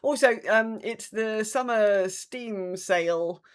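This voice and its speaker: A woman co-hosting a podcast in casual chat, wry and rambling, with hesitant pauses. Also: medium pitch, clean tone. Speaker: podcast co-host.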